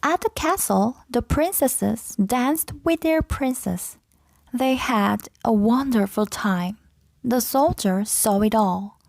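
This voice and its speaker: Slow, high-voiced woman reading a fairy tale. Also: creaky, a little mellow, no echo. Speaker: storybook narrator. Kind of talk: scripted children's fairy tale read-aloud.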